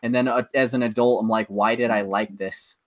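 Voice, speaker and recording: A man's voice: medium pitch, fairly even intonation, fairly quick. A man co-hosting a podcast in casual chat, ranting loosely with swearing and plenty of filler words. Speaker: podcast co-host.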